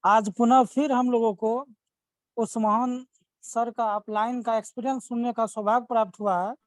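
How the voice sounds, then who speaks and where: A man's voice: very high voice, level tone, normal speed, no echo, rough recording. A man from Bihar, India, hosting an online meeting, speaking in long winding sentences full of praise for his guest. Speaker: meeting host and promoter. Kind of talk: formal welcome speech on a video call. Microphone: video call microphone.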